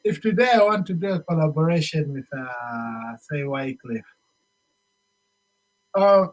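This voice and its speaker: A man's voice: fairly high pitch, lively intonation, a little slow, poor audio. A man with a Zambian accent chatting as an interview guest, emphatically restating his points and slipping into local phrases. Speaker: show guest, musician. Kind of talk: casual interview chat.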